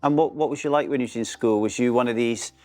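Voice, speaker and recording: Middle aged guy hosting an interview, chatty, tells his own stories, normal pitch, bit of music behind. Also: fast, darkish tone. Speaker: interview host. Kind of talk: casual interview chat.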